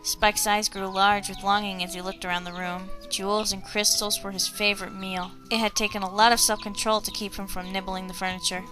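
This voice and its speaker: A woman's voice: medium pitch, little pitch movement, brisk, few pauses. A woman reading a children's story aloud as scripted narration. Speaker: storybook reader. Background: background music.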